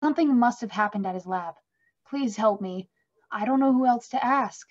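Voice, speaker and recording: A young woman playing a worried, pleading young woman in need of help, in an animated fantasy table read. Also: fairly high pitch, normal speed, lo-fi sound, natural voice.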